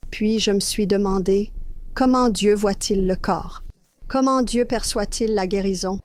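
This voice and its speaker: A woman's voice: mid-range voice, measured pace, darkish tone. An older woman from Australia, a health educator, telling her personal story as an interview guest in an earnest, anecdotal way.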